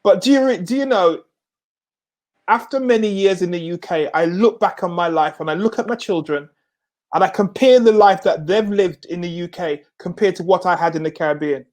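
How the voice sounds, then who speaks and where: Host, male, middle-aged, raised in Jamaica; high-pitched, halting restarts, punchy repeated slogans. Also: a little mellow. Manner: stammering repeats, laughs often, emphatic slogans. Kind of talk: casual chat.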